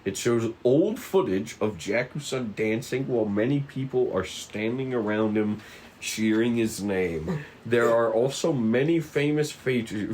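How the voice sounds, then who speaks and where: A man narrating stage directions at a table read, haltingly and stumbling over the script now and then. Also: medium pitch, a little mellow, a little hiss or hum, poor audio.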